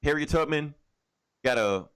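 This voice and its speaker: A man co-hosting a podcast, chatting casually about comics and games in a profane, opinionated, animated way. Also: a little high, measured pace, clear, resonant tone. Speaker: podcast co-host. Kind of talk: casual comic book and video game chat.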